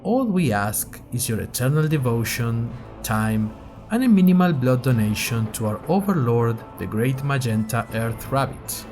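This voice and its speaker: A man playing a persuasive secret-brotherhood recruiter in a parody advertisement, mock-grave like a pitchman. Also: expressive, moderate pace, a little mellow, soft background music, close to an everyday voice. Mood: persuasive, mock-solemn.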